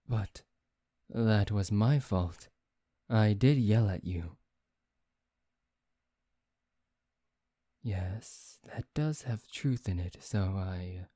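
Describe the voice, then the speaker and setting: A man playing a suave, proud vampire who teases one listener in an intimate, halting ASMR roleplay. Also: lowish voice, warm tone, dry recording, studio quality. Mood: apologetic, soft. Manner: teasing, haughty, halting, addressing one listener. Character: suave, teasing male vampire, proud and quick-tempered.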